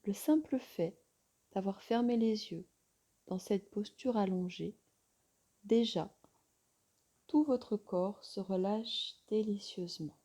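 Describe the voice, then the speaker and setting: A woman yoga teacher guiding a relaxation meditation in a soft, soothing, breathy voice with long pauses. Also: medium pitch.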